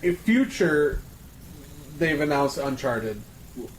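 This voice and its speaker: A man's voice: a little high, lively intonation, slow pace, rough recording. A man chatting casually on a panel about entertainment, complaining in an exasperated way and swearing freely. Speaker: podcast panelist. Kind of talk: casual chat about film and games. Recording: noticeable background noise.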